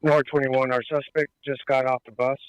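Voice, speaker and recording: A man's voice: medium pitch, little pitch movement, moderate pace, smooth, dry recording. A male patrol officer giving matter-of-fact, procedural status reports over police radio. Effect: police radio transmission. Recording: muffled band-limited police radio.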